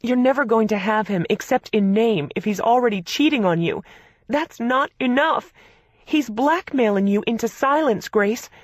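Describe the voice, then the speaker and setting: Exasperated older sister, loud and raised, read by a female narrator, dry close mic. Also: a little high, a little slow, no echo, pitched above the performer's own voice. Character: furious, protective older sister.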